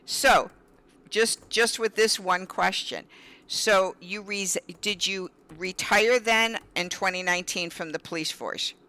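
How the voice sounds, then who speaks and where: Woman host, bright and clear tone, conversational questioning that keeps rephrasing itself. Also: medium pitch, slow pace. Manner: asks questions, rephrases and restarts often. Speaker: interview host. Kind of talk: casual interview.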